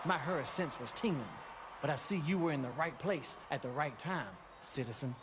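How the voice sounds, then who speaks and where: Man playing a pompous, high-pitched costumed superhero wrestler. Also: normal speed, heavy background noise, close to an everyday voice. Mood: smug, probing. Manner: pompous, mock-heroic. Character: cocky costumed male superhero wrestler, theatrically suspicious and self-important. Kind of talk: video game cutscene acting.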